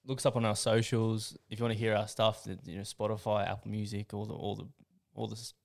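A man's voice: lowish voice, little pitch movement, vocal fry. A man in his early thirties with an Australian accent, a band member chatting casually as a podcast guest, filling his talk with "like". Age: 30s. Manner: quick, full of "like".

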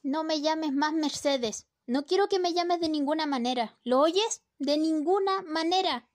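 A woman's voice: high-pitched, measured pace. A young woman, a student actor, playing a clingy, melodramatic wife in an amateur radio drama. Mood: sulky. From Chile. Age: young adult.

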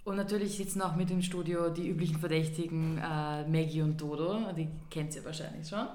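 Low-voiced woman podcast co-host. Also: fairly even intonation, a little fast, mellow, roomy sound. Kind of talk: casual podcast chat. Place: podcast studio.

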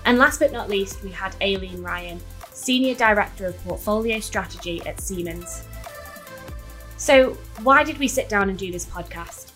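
A woman's voice: medium pitch, normal speed, fairly bright tone, faint music behind. A woman hosting a podcast, giving scripted introductions and putting questions to guests. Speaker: podcast host.

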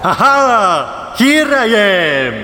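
A man's voice: high-pitched, very animated, full character voice. A man playing a grandiose, proudly theatrical invisible superhero making announcements in a parody audio drama. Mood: triumphant. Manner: drawn-out triumphant announcing. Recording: noisy, echoey hall, distant voice.

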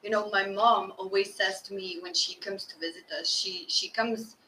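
A woman hosting a podcast interview, expressive and lively as she asks questions. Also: mid-range voice, normal speed, a little hiss or hum. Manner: lively, expressive, animated retelling. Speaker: podcast host. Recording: echoey and distant.

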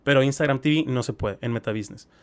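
A man with a Mexican Spanish accent co-hosting a podcast, chatting casually with crude slang and animated retellings. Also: mid-range voice, fast talker. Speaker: podcast co-host. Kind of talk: casual chat.

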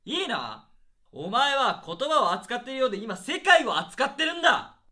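Guy doing a bossy hot-tempered stage director in a radio play, high forceful voice, scolds gruffly. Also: big pitch swings, moderate pace, exaggerated voice. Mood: demanding. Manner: gruff, scolding, rough-spoken. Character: hot-headed, pompous, overbearing male theatre director. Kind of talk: radio drama acting, heated comic dialogue.

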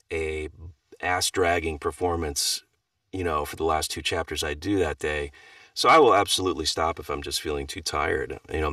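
A middle-aged man, an audiobook narrator, chatting casually on a podcast in a relaxed, self-deprecating way that turns animated when he tells a story. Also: sits low, no echo, clean studio sound, recorded from a distance.

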